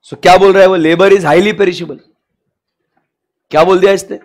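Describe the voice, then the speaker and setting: Hoarse high-voiced lecturer, unhurried. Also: male voice, fairly even intonation, darkish tone. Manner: asks students rhetorical questions, drawn-out emphatic English phrases. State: sore throat. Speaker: commerce lecturer. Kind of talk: classroom lecture, mixing Hindi and English.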